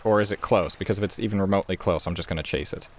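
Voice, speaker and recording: Male tabletop player, fairly low pitch, loose conversational delivery. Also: rapid-fire, slight background noise. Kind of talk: casual game table talk.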